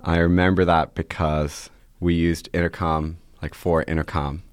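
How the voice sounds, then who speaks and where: A man's voice: sits low, flat delivery, normal speed, smooth, no room sound, clean studio sound. A man, a podcast guest chatting casually and scattering "like" through his sentences. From Ireland. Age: 20s. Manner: rambling, with frequent "like" fillers. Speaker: podcast guest, startup CEO. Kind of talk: casual interview chat.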